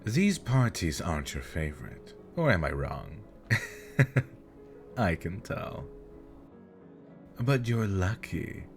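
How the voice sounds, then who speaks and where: A man's voice: low voice, big pitch swings, slow, pauses often, faint music behind, full character voice. A man playing a smug, teasing elder demon nobleman with mocking politeness and flirtatious charm, in an ASMR fantasy roleplay. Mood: flirtatious, taunting.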